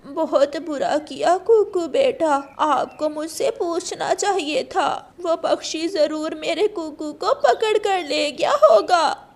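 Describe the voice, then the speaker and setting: Lady playing caring old granny bird in a cartoon, high voice, slow, gentle and worried. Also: exaggerated voice.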